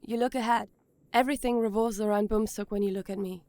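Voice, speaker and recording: A woman acting in an audio drama as a guarded, sluggish young person, speaking earnestly and haltingly. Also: mid-range voice, steady tone, a little mellow, no echo, close to an everyday voice.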